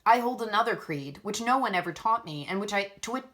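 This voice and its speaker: A woman reading as a calm, pious schoolgirl. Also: mid-range voice, brisk, lightly acted. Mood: earnest, serene.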